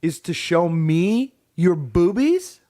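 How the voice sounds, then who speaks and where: A man's voice: high voice, big pitch swings, takes it slowly, mellow. A man co-hosting a podcast, teasing his partner in casual, playful chat and exaggerating for laughs. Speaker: podcast co-host. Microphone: podcast microphone.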